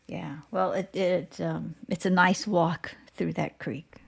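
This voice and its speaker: A woman hosting a casual podcast interview, choosing her words in a halting, careful way. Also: on the low side, steady tone, gravelly, husky.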